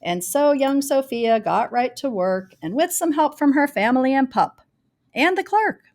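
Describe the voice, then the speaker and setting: A woman reads a rhyming children's storybook aloud, telling it in a dramatic, deliberate way with varied emphasis. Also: sits high, animated, a little mellow. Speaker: storybook reader. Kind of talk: expressive read-aloud of a rhyming children's picture book.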